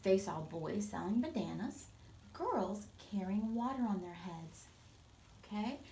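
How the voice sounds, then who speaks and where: A woman teacher reading a storybook aloud to children, expressive and instructive, pausing to explain things simply. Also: mid-range voice, gravelly, slight background noise.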